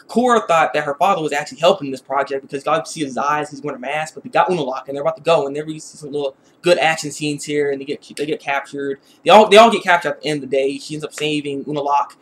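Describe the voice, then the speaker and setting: A man giving a casual, rambling episode review in an animated, conversational way, often repeating his phrases. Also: on the high side. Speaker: YouTube reviewer.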